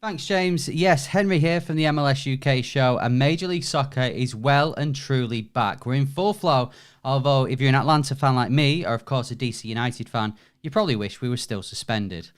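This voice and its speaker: Man, mid-pitched, upbeat, promo read. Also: a little fast, smooth. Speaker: guest promo host. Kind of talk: recorded promo message.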